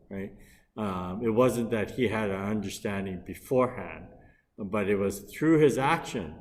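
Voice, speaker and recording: A middle-aged man giving a Buddhist dharma lecture as a minister, speaking in an unhurried, hesitant way with frequent pauses and restarts. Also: on the low side. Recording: long reverb from a hall.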